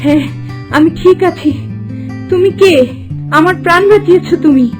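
A woman voicing a gentle, earnest, devoted young landlord's daughter in a fairy-tale cartoon. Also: very high voice, normal speed, faint music behind. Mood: grateful, tender.

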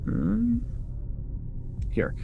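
A man's voice: extremely slow, narrow, tinny audio, soft background music. A man playing an eager, awkward young vampire in an intimate ASMR roleplay, rambling nervously and stumbling over his words. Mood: chatty, playful. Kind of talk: intimate ASMR roleplay, one-sided conversation.

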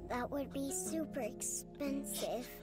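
A woman voicing a frail, gentle little orphan child in a game trailer, pleading softly and haltingly. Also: sits high, music in the background.

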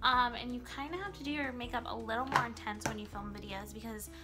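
A woman's voice: on the high side, quick pace, loud music behind the voice. A young woman chatting casually while doing her makeup in a small bedroom, a bit nasal from a cold and rambling with lots of ums and likes. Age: young adult. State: sick, slightly nasal. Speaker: beauty vlogger. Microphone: camera in front, close framing.

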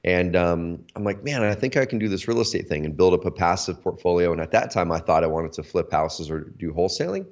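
A man in his thirties, hoarse from allergies, talking energetically as a guest on a video call interview. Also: deep voice, brisk, few pauses. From Oregon, USA. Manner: energetic, talkative, lots of fillers. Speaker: guest, company CEO. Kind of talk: casual interview. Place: home office, indoors. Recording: slightly dull lo-fi video call audio.

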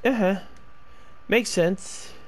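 A man's voice: high-pitched, extremely slow, a little mellow. A man giving rambling, casual commentary while playing a game. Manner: rambling, talks to himself. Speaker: gameplay streamer.

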